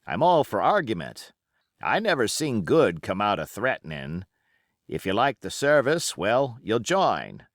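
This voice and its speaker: A sly old seafaring pirate played by a male reader, all cajoling sailor talk with menace under the geniality. The pitch swoops about freely and the pace is unhurried, as in a storybook told aloud. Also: very animated, dry recording, studio quality. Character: wily old male sea-cook pirate captain, genial yet menacing. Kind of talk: audiobook character acting.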